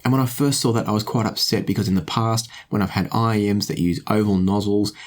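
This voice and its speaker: A man reviewing audio gear to camera in a measured, conversational way, thinking aloud and inviting viewers to comment. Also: fairly low pitch.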